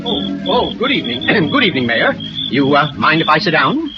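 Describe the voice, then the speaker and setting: A man's voice: fairly high pitch, sing-song, normal speed, over loud music. An actor playing a fussy, self-righteous father and neighbor in 1940s radio comedy, hesitant and given to repeating himself with nervous chuckles. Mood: huffy, forgiving. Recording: muffled, noisy old radio broadcast recording.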